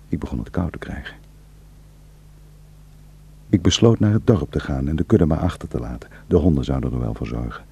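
An actor playing a somber Kurdish shepherd, narrating in a measured, quiet, husky voice for a radio drama. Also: male voice, low-pitched, exaggerated voice.

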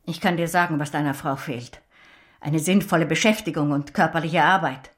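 A woman's voice: low-pitched, moderate pace, lightly acted. An actress playing a blunt, disapproving older mother-in-law in a radio drama. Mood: stern reproach. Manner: blunt, moralising.

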